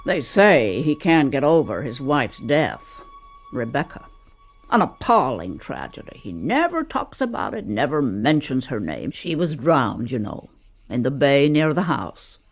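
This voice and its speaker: A woman's voice: rumbling low, big pitch swings, unhurried, soft background music, exaggerated voice. An actress playing a gossipy, overbearing older society woman in a radio drama, speaking in a grand, emphatic, chatty way. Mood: gossiping, confiding.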